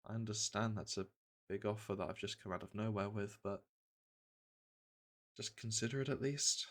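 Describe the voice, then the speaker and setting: Man playing a soft-spoken, low-voiced veteran monster hunter. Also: normal speed, lightly acted. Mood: earnest, gentle. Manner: soft, hesitant, soothing delivery. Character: gentle veteran monster hunter, male, reassuring and matter-of-fact. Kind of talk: soft-spoken ASMR fantasy roleplay.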